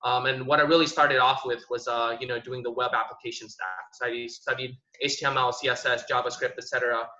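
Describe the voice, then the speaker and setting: A young man from Indonesia talks quickly in a bright, forward voice, with a steady, flat pitch. He tells his story casually and keeps filling gaps with "you know" and "um". The sound is roomy and a little dull, like a video call picked up in an echoey room. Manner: relaxed and conversational, many fillers like "you know" and "um". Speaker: panel speaker, tech professional. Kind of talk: online panel, personal story.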